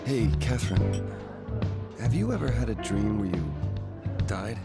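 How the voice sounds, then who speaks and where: A man's voice: fairly low pitch, sing-song, slow pace, heavily acted. A man playing an evasive, hesitant boyfriend in his thirties in naturalistic video game dialogue. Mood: casual. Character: evasive, slacker boyfriend man dreading marriage. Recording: music playing behind the voice.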